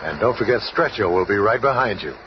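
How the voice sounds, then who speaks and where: An actor playing a calm, authoritative scientist team leader in 1970s radio drama, composed and assured. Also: male voice, fairly low pitch, fairly quick, natural voice. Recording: muffled old radio recording, faint noise.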